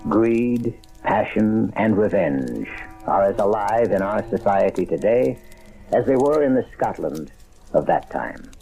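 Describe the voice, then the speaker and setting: A man hosting a radio mystery series, giving grave, theatrical scripted narration with measured phrasing. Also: fairly low pitch, animated, gravelly, warm tone, poor audio. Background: music.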